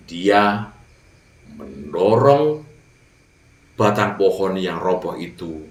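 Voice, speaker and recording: Man, children's fable narrator, slow pace, dramatic pauses, echoey room. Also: low-pitched, a little hiss or hum. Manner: dramatic pauses, addresses young listeners. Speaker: children's storyteller. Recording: roomy echo, distant mic.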